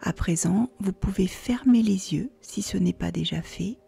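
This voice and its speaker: Soft whispery lady doing guided meditation, super slow, bit of creak, quiet music behind. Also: mid-range voice. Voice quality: soft, breathy, near-whisper. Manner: calm, slow, soothing instructions with pauses. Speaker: yoga nidra guide.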